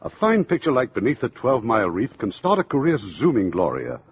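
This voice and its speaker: A man's voice: medium pitch, wildly swooping pitch, fairly quick, slightly rough. A man hosting a 1950s radio show in a polished, courtly, scripted manner. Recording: dull, slightly noisy 1950s radio.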